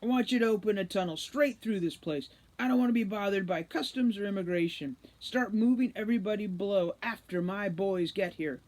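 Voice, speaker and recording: A gruff, bossy elf commander from a storybook, acted out by a woman reader. He barks gravelly orders loudly and emphatically, pushed up in pitch. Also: brisk, few pauses, close to an everyday voice. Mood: stern, urgent.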